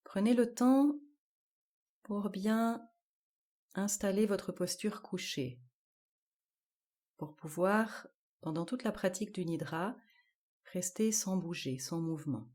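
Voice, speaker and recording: A woman guiding a yoga nidra relaxation, giving calm, measured instructions in short, spaced-out phrases. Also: medium pitch. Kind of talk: guided relaxation meditation.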